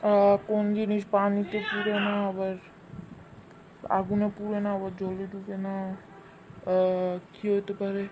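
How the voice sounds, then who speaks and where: Bumbling middle-aged cartoon uncle, a woman voicing him, Bangladeshi Bengali accent, halting "ahh" thinking, mid-pitched, noisy take. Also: monotone, lo-fi sound, natural voice. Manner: hesitant, drawn-out thinking sounds. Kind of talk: cartoon dubbing. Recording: noisy home recording.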